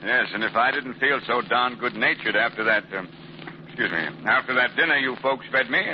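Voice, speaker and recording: An actor playing a gruff but good-natured middle-aged police commissioner in a 1940s radio drama, blustery in manner, on a muffled old broadcast recording. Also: male voice, mid-range voice, fairly quick, slight background noise, close to an everyday voice.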